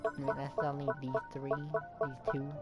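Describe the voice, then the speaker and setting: A woman's voice: extremely low pitch, completely flat delivery, moderate pace. A woman giving casual gameplay commentary as a streamer, rambling and thinking aloud. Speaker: gaming streamer. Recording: loud game music behind. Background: game music.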